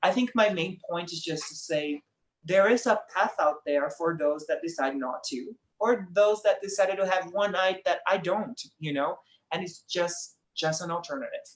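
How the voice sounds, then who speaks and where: A man's voice: sits high, moderate pace, reverberant, poor audio. A young man from Brazil with a Brazilian accent, a guest speaking in an earnest, reflective conversational way and laughing easily. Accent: Brazilian Portuguese. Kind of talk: casual conversation.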